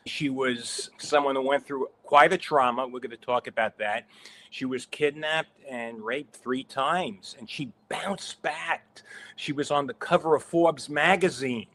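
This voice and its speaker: A man's voice: mid-range voice, takes it slowly, a bit bright, slight background noise. A middle-aged man hosting a livestream interview, introducing his guest effusively. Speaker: interview host.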